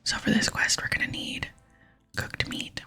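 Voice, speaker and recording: A young American woman hosts a gaming chat in a breathy ASMR whisper. She is soft and intimate, rambling casually right up at the mic. Her delivery has crisp bright edges, with faint music underneath. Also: measured pace. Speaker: host of an ASMR gaming series. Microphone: close microphone.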